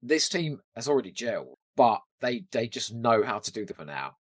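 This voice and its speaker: A man's voice: medium pitch, big pitch swings, normal speed, dry recording. A man chatting casually and animatedly as a podcast co-host about a TV show, swearing now and then.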